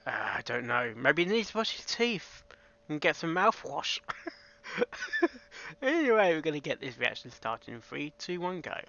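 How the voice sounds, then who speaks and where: A man with a fairly high, bright voice gives casual reaction commentary, with offhand remarks. The recording is a bit dull and lo-fi, with faint music behind. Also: sing-song, moderate pace, creaky, slight background noise. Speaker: reaction host.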